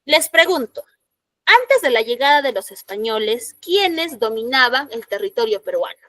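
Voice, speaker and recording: Peruvian woman teacher, higher voice. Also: big pitch swings, normal speed, bright, forceful tone, dry recording, clean studio sound. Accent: Peruvian Spanish. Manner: explanatory, frequent "¿no?" checks, rhetorical questions to students. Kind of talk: classroom lecture.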